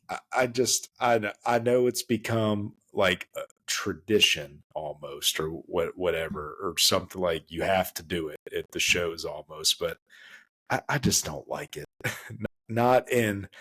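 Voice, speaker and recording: A man's voice: a little slow, fairly bright tone, breathy edge. A man co-hosting a podcast in casual chat, emphatic and stumbling over restarts as he rants. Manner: emphatic, full of restarts and stammers; swings up high when worked up. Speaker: podcast co-host.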